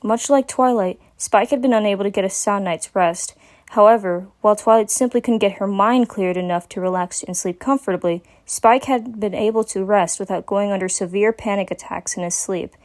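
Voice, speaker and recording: A woman's voice: medium pitch, normal speed, a little mellow. A woman giving a dramatic reading of a scripted story as its narrator.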